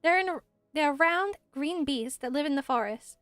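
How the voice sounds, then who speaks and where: A woman's voice: high-pitched, lively intonation, moderate pace, a bit bright, dry recording, soft background music. A woman voicing an earnest, dutiful young female forest ranger in a fantasy game, explaining things carefully.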